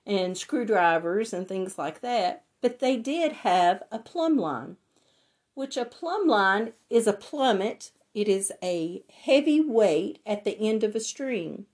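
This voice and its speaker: A woman with a hoarse, raspy voice from laryngitis, teaching a Bible lesson and reading scripture in a deliberate way. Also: mid-range voice, animated, darkish tone.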